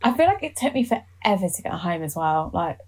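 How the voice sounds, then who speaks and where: A woman's voice: lowish voice, sing-song, a little fast, darkish tone. A woman in her twenties from England, co-hosting a podcast and chatting casually with playful jokes. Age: 20s. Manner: joking, playful asides. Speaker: podcast co-host. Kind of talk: casual chat.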